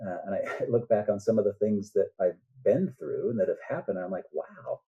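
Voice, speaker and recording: A man's voice: on the low side, animated, fairly quick, creaky, mellow, muffled recording. A man speaking as a guest author in a casual interview, earnest and reflective as he explains his thoughts.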